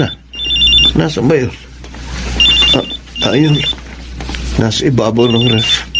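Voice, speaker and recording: An actor with a Filipino accent playing a jealous, insistent husband in a radio romance drama. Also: male voice, medium pitch, heavy background noise. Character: jealous, wounded young husband.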